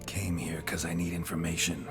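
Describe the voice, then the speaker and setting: An actor playing a stoic, gruff mutant monster hunter in a video game, terse and deadpan. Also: male voice, low-pitched, moderate pace, soft background music, close to an everyday voice. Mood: probing. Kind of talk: video game drama acting.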